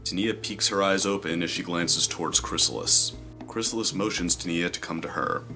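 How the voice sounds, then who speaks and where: A man's voice: low voice, steady tone, normal speed, over music. A man giving scripted narration of the action in an audio drama, as its narrator.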